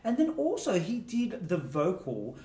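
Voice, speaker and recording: A chatty man with a slightly high voice, talking fondly and laughing often as he co-hosts a casual review show. He sits back from the mic in a room with a lot of echo. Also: huge pitch swings, a little slow, warm tone, recorded from a distance. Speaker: co-host. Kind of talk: casual chat reviewing song contest entries.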